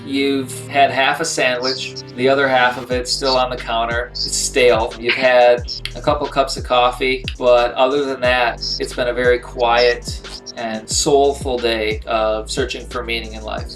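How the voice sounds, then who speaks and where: A man runs a tabletop role-playing game as game master, with a mid-pitched voice. He tells the story dramatically, leaving pauses, while music plays faintly behind him. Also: flat delivery, fairly bright tone.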